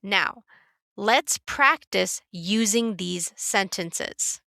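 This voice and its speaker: A woman teaching a beginner English lesson in a careful, clear, scripted way. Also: medium pitch, drawn-out words, sharp tone, no room sound, studio quality. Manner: clear, careful, instructive delivery.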